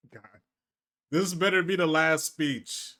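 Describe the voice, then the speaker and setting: Exasperated man, higher-pitched voice. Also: slow pace, sharp tone. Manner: exasperated, incredulous, repeats himself. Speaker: reaction video host. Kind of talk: casual reaction commentary, slangy.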